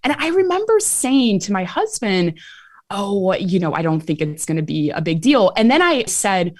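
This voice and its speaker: A woman's voice: mid-range voice, moderate pace, dry recording. Chicago-accented woman, dry close sound. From Chicago, USA. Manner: animated, laughs easily. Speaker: author and medical historian. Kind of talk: interview answers.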